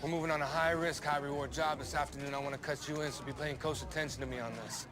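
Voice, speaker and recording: A man's voice: on the high side, little pitch movement, fast talker, over music, close to an everyday voice. An actor playing a cocky, swaggering male street crew boss in a video game, slangy and challenging. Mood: sizing up, challenging. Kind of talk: video game cutscene acting.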